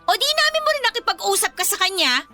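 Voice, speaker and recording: A woman's voice: very high voice, sing-song, fast talker, bright, forceful tone, faint music behind, exaggerated voice. A woman acting a spiteful, jealous rich young woman in a radio drama, sneering and taunting as she speaks. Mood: jealous, accusing.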